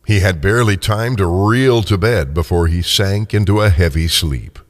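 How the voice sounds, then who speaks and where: A man's voice: sits low, moderate pace. A man narrating a classic story dramatically as scripted radio theater. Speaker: narrator and performer.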